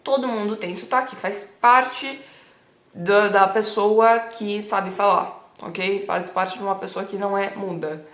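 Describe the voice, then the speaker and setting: A woman's voice: mid-range voice, fairly even intonation, a little slow, a bit bright. A Brazilian woman with a São Paulo accent, teaching in a chatty, emphatic way full of small tag words. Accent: São Paulo Brazilian Portuguese. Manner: chatty and emphatic, frequent "né" and "tipo" tags. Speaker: Italian teacher. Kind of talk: casual teaching vlog. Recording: roomy echo.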